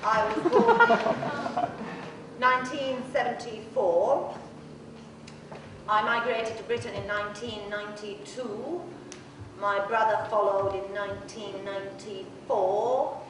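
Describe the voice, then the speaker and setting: A woman from Bosnia, reciting dates and facts about her life in a plain, measured way with fillers. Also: medium pitch, noisy background. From Bosnia and Herzegovina. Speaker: performer. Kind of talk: reciting facts in a workshop performance. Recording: echoey studio room from far off.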